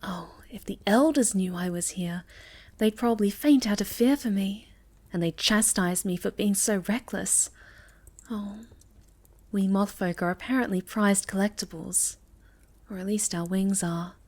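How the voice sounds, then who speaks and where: A woman playing a sweet, shy, gentle moth-girl monster in a soft, halting ASMR roleplay, often slipping toward a whisper. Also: mid-range voice, lightly acted. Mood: cozy, shy.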